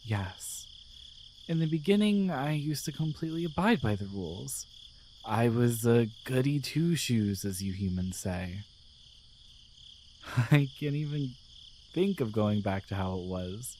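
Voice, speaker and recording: A man voicing a devoted, gentle guardian angel in an intimate romantic ASMR roleplay, speaking softly with tender, hesitant care. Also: medium pitch, animated, unhurried, slight background noise, natural voice. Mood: confiding, hesitant. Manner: soft, tender, hesitant, soothing. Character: devoted, gentle male guardian angel in love with their human.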